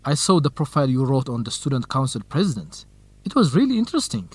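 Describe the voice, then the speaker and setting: An actor playing an earnest, cautious, lovestruck young research assistant in an educational radio drama. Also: male voice, medium pitch, sing-song, quick pace, darkish tone, breathy edge, no room sound. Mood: reasonable, questioning. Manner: earnest, anxious, principled.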